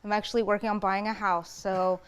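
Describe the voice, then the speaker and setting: A woman's voice: medium pitch, flat delivery, fairly quick, clear, resonant tone. A young woman, a new collector, chatting lightly and cheerfully.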